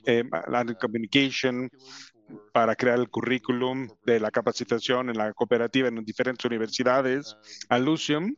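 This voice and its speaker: A man with an English accent and English as his first language, giving a conference presentation in a halting way, with many fillers and restarts. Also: medium pitch, highs cut off. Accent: English speaker's Spanish. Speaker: company representative presenting to educators.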